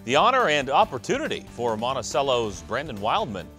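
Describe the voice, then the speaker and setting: A man's voice: medium pitch, big pitch swings, fairly quick, bright, forceful tone, faint music behind. An American man reading scripted sports news as a television anchor.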